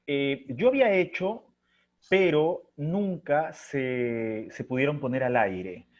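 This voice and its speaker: A man's voice: mid-range voice, lively intonation, takes it slowly. A middle-aged man with a Peruvian Spanish accent, answering conversationally as an interview guest in a reflective, anecdotal way. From Chiclayo, Peru. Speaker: theatre artist and interview guest. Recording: dull, lo-fi audio.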